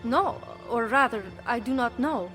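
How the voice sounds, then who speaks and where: Proud, high-voiced Italian madam. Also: female voice, unhurried, fairly bright tone, in a large hall. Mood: defiant, determined. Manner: assertive, self-assured. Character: proud, determined sister turned brothel madam. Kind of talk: video game cutscene acting. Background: music.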